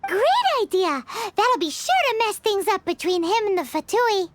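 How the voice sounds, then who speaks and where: Squeaky cheeky fairy sidekick. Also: female voice, animated, normal speed, heavy background noise. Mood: curious. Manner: cheeky, bouncy, refers to herself in third person. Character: small chirpy female fairy companion, childlike, cheeky. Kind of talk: video game cutscene voice acting.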